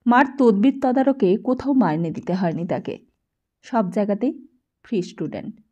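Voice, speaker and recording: A woman's voice: mid-range voice, normal speed, mellow, muffled recording. A woman narrating a literary novel as an audiobook, reading from a script.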